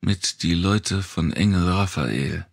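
Man voicing a halting woman, gravelly. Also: low voice, no echo, studio quality, pitched above the performer's own voice, exaggerated voice. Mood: hushed, informative. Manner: halting, broken grammar. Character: Italian woman translating in broken German, anxious, hushed.

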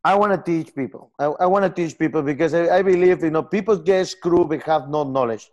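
A man in his forties from Peru, speaking English with a Peruvian Spanish accent, earnest and halting in a casual video-call interview. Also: on the high side, a little mellow, lo-fi sound. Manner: earnest, gestures a lot, halting non-native phrasing. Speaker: software company founder. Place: home room with lamp and armchair.

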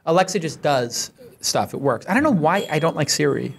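An animated man in his forties with a slightly high-pitched voice hosts a studio podcast. He talks in punchy bursts with quick asides into a close boom mic. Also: vocal fry, slightly rough. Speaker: podcast host. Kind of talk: casual roundtable chat and ad read. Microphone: studio mic on boom arm.

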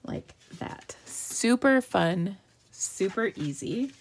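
A woman's voice: mid-range voice, expressive, slow, husky. Chatty woman demoing card crafts live. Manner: chatty and upbeat, answering viewer questions while working. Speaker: card-making demonstrator. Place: home craft table.

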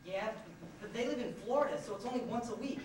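A young man acts out a bewildered, hesitant bachelor in a stage play. He speaks haltingly and uneasily, stumbling over words. The recording is distant, very echoey and noisy. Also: very high-pitched. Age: young adult. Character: hesitant, bewildered single man cornered by a bride. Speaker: high school stage actor. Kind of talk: live one-act comedy stage acting. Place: school theatre stage. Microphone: far camera. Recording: echoey noisy hall, distant camcorder microphone.

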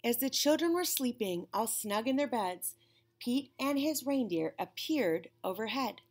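A woman with a high-pitched voice reads to young children. She tells it expressively and deliberately, like a classroom storyteller, stretching phrases out. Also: expressive. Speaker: children's storybook reader, teacher. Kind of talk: read-aloud for young children. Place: classroom, indoors.

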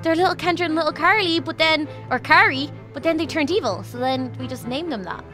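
A woman's voice: sits high, a little fast, sharp tone. A woman playing an anxious, bossy young princess and peacemaker in a children's game roleplay. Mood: puzzled. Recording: music playing behind the voice.

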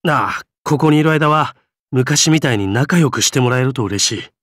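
A man playing an affable, gentle gentleman adventurer in a game, speaking politely and breezily. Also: medium pitch, expressive, normal speed, dry recording, studio quality, natural voice. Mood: easygoing. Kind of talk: game character voice lines.

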